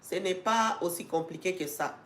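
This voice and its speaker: A woman's voice: lowish voice, moderate pace. Congested woman hosting a live stream. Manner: talks with many fillers and restarts, grows emphatic and urging. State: congested with a cold. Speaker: host of an educational channel. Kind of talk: live-stream talk to viewers. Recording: echoey room.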